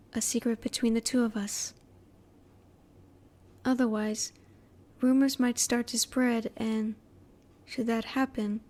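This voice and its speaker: Woman as curious female alien scientist, reserved, highish mellow voice, polite precise wording, halting pauses, intimate roleplay audio. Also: close to an everyday voice. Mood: quietly pleased. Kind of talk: scripted roleplay audio, spoken intimately to the listener.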